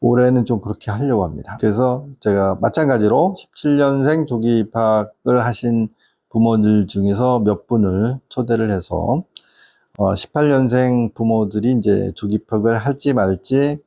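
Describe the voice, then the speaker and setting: A middle-aged man giving an informal, earnest explanation to parents as an educational consultant, wandering through his points with hesitant pauses. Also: mid-range voice, creaky, dark tone. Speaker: educational consultant and channel host.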